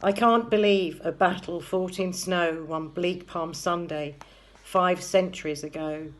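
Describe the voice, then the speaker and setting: A woman reciting poetry with measured phrasing. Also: deep voice. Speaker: poetry reader.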